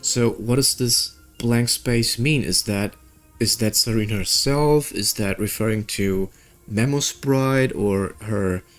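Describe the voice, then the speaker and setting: A man giving casual playthrough commentary as a gaming video host, hesitantly thinking out loud. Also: lowish voice, takes it slowly. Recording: background music under the voice.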